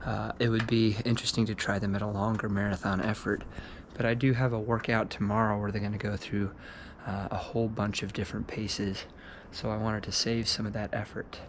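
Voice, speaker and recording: A man in his thirties, his voice going hoarse, talks in a loose, low-key way as he works through his thoughts on a run. He is recorded on a small action camera outdoors. Loud street sounds and traffic sit behind him. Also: flat delivery, a little fast, hardly any pauses. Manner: low-key, thinking aloud. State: losing his voice. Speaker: running shoe reviewer. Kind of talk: casual vlog commentary. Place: outdoors on a porch and dark streets.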